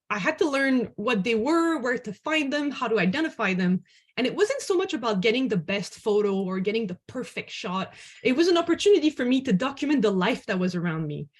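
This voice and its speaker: A woman's voice: on the high side, breathy edge. A woman hosting an online dialogue, giving a prepared presentation. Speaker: host and moderator.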